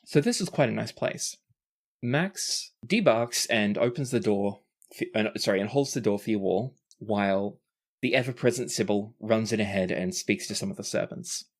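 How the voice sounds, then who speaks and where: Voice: mid-range voice, normal speed. A game master and host narrating a tabletop roleplaying podcast in a descriptive way, sometimes self-correcting.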